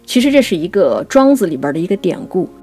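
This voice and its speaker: Female poetry co-host, lowish and very expressive, explanatory conversational delivery, music underneath. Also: husky. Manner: explanatory, recites verse slowly. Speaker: writer and co-host. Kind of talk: conversational talk on classical poetry.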